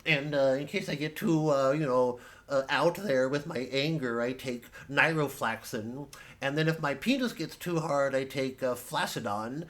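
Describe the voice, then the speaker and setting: A man plays an earnest, over-medicated male performer in a satirical monologue. He talks haltingly and with mock sincerity, carefully self-satisfied. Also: mid-range voice, a bit bright, natural voice. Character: earnest male performer proudly reciting his many medications.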